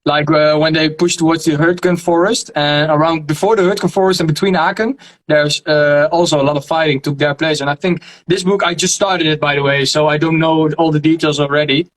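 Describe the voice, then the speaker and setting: A young Dutch man speaking English as a second language, a guest in a casual interview, often pausing to search for words. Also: on the high side, fairly even intonation, low-quality recording. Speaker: guest, history video creator. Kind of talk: casual video-call interview.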